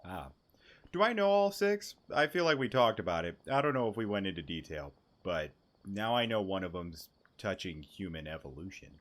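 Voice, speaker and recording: A man's voice: low-pitched, very animated, normal speed. A man doing casual let's-play commentary on a video game, joking, sarcastic and sweary. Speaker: gaming streamer.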